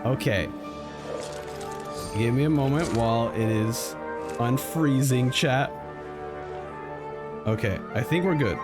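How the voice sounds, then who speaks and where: A man streaming a game live with casual, halting commentary, often repeating himself as he talks to his chat. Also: medium pitch. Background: music.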